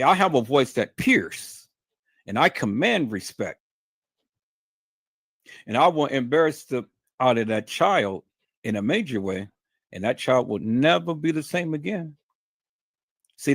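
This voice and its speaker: A man's voice: medium pitch, no room sound, clean studio sound. A man hosting a livestream, talking in a stern, emphatic, lecturing way to his viewers. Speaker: livestream host. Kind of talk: unscripted livestream monologue.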